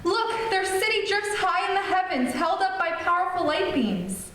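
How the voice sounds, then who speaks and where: An anxious, devoted young damsel from a radio serial, acted by a woman. Her very high voice swoops melodramatically and rings with echo. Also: animated, moderate pace, fairly bright tone. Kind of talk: old-time radio serial acting. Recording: echoey stage, distant mic, faint noise.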